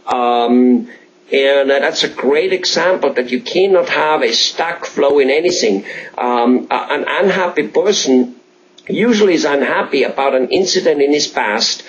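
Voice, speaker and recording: A man with an Austrian accent, a native German speaker, interviewing conversationally in a halting, repetitive, emphatic way with rambling examples. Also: mid-range voice, lo-fi sound. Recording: faint background noise.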